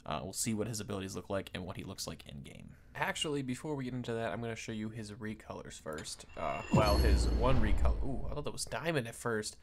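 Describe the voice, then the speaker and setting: Man, lowish voice, gaming video host, casual unscripted commentary, rambling, thinking aloud. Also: expressive, fast, few pauses, background noise. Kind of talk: casual off-the-cuff game commentary.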